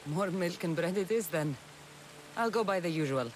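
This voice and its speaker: An actress playing a weary but devoted warrior mother in a video game, wry and earnest in a measured way. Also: fairly low pitch, expressive, a little hiss or hum, lightly acted. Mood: wry, fond. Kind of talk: dramatic video game acting.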